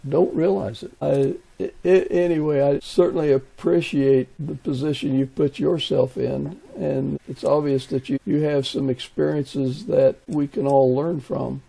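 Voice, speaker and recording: Male podcast host, very mellow and gravelly, casual interviewing. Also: fairly high pitch, steady tone, measured pace, few pauses, poor audio.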